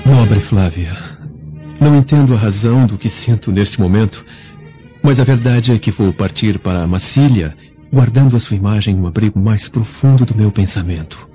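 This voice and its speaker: An actor playing an earnest, flattering young freedman with formal courtesy and a scheming streak in a radio drama. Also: fairly low pitch, moderate pace, soft background music, lightly acted. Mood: humble devotion. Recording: dull lo-fi radio drama recording.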